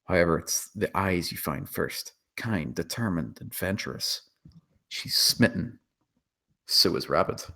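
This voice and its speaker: Casting: male narrator, low-pitched, delivering scripted scene descriptions with a dramatic storytelling style. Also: expressive, unhurried, gravelly, sharp tone. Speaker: narrator reading stage directions.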